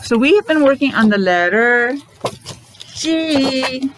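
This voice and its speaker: A woman's voice: high voice, expressive, slow. Woman teacher with noticeable background noise. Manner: simple repetitive phrasing with frequent questions, sounding out letters. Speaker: preschool or special education teacher leading morning meeting. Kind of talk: interactive lesson for young children.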